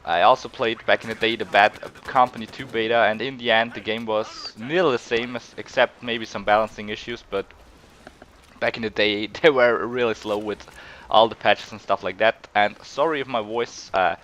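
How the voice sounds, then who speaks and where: A young Austrian man with a fairly low voice and a cold, talking through his gameplay in halting, rambling English with an Austrian German accent. Faint gunfire from the game sits underneath his words. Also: fairly even intonation, a bit bright, a little hiss or hum. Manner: hesitant, rambling, many fillers and restarts. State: sick with a cold. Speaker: gaming channel host. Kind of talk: casual gameplay commentary.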